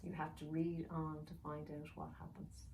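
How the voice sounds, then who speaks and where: A woman's voice: low voice, level tone, normal speed, a little mellow. A woman narrating a children's story expressively, as an author reading aloud. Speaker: author reading own book. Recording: roomy, distant mic with faint noise.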